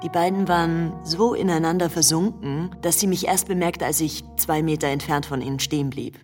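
A woman's voice: sits low, brisk, a little mellow, close to an everyday voice. An actress playing a worldly young society woman who recounts her memories in a radio play with cool composure. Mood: reminiscing, composed. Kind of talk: radio play storytelling in German. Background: music.

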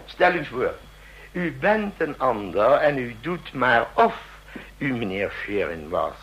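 A man's voice: mid-range voice, big pitch swings, a bit bright, slightly rough, highs cut off, full character voice. Een man die in een hoorspel een babbelzieke, scherpzinnige oude tandarts speelt, bedachtzaam en licht dreigend, vol gemompelde herhalingen. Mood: lecturing, amused. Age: older. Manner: deliberate, musing, with murmured repeats and rhetorical questions. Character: chatty old dentist, shrewd, musing, quietly menacing. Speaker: dentist. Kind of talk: radio drama acting.

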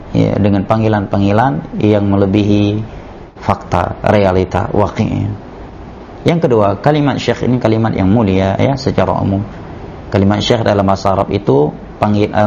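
A man, an Islamic teacher, giving a measured religious study lecture that is punctuated with "ya" and "nah.". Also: lowish voice, vocal fry, a little mellow, slight background noise. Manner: measured, repeats "ya" and "nah" as he explains. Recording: muffled lo-fi lecture recording.

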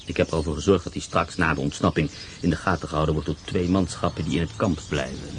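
An actor playing a calculating German prisoner who plans an escape in a radio play. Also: male voice, a bass voice, fast talker, slight background noise. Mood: scheming. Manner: calm, calculating. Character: cunning German POW escape planner.

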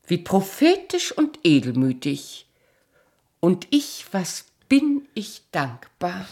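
A woman acting a proud, bitter, calculating queen and abandoned wife in a German radio play, speaking in a cool, sharp-tongued, imperious way. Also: fairly low pitch, very animated, takes it slowly, slightly rough, exaggerated voice.

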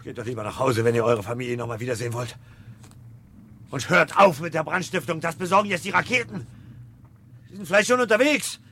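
A man's voice: on the high side, fairly quick, long pauses, sharp tone, husky, heavily acted. An actor playing a defiant, desperate fireman turned rebel, urgent and intense, in a German radio play from 1970. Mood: agitated, commanding.

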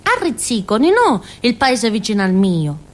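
A woman's voice: on the high side, huge pitch swings, moderate pace. An actress playing a naive, indignant village fool with a southern Italian accent, telling her story in a rambling, emphatic, colloquial theatrical monologue. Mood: eager, confiding. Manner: childlike, rambling, emphatic, seeking agreement with tags. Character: naive, indignant village fool, a chatty Calabrian young woman.

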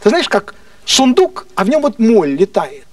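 Мужчина изображает слегка пьяного советского партийного начальника, который покровительственно и насмешливо угрожает, повторяясь и переспрашивая. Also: male voice, sits high, big pitch swings, moderate pace, recorded from a distance, slight background noise. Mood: veiled threat. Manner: patronizing, repetitive, insinuating questions. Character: menacing, mocking Soviet party official, slightly drunk.

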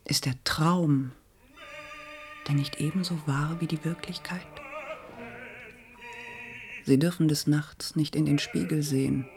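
Radio drama: seductive phantom singer. Unhurried, breathy, half-whispered, enigmatic. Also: female voice, deep voice, steady tone, a little mellow, faint music behind. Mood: hushed, enigmatic. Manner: slow, enigmatic, probing. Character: mysterious, seductive opera singer, dreamlike apparition. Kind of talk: literary radio play acting.

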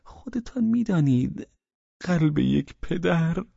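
A man's voice: on the high side, lively intonation, creaky, mellow, husky, no room sound, dull audio, voice pushed up, heavily acted. A man doing a fawning nervous father.

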